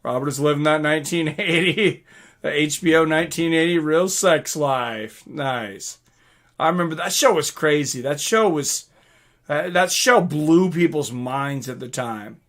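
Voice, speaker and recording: Bright, excitable man chatting on a livestream. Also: fairly high pitch, measured pace. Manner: excitable, informal, swearing. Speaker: livestream host. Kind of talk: casual livestream chat, reading out viewer comments and reacting.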